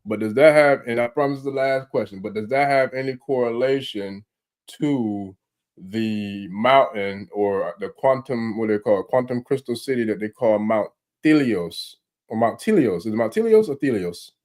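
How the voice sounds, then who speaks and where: A man's voice: medium pitch, animated, moderate pace, darkish tone. A man in casual chat, talking laid-back with slang and lots of fillers.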